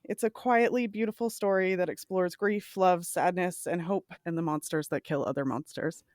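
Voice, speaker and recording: A woman's voice: fairly low pitch, fairly even intonation, moderate pace, darkish tone, dry recording, studio quality. A woman with a Canadian accent, hosting a conversational podcast interview.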